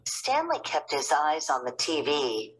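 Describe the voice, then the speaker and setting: A woman reading a children's storybook aloud in an expressive narrating style. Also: sits low, a little slow, husky, rough recording. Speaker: storybook reader.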